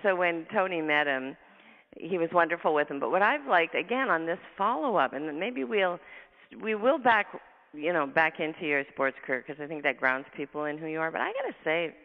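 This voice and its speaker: Husky-voiced woman on a tinny phone line. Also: sits low, animated, in a large hall. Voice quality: husky, gravelly voice. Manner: fast, effusive talker, laughs often. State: tired from travel. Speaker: radio show host. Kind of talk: casual radio interview chat.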